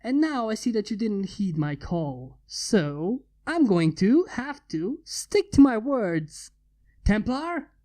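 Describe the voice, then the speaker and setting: Man acting a cocky, mellow-voiced male inventor. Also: sits high, sing-song, slow, heavily acted. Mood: taunting menace. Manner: taunting, casual, mock-menacing. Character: cocky, teasing human male inventor with armored bodyguards.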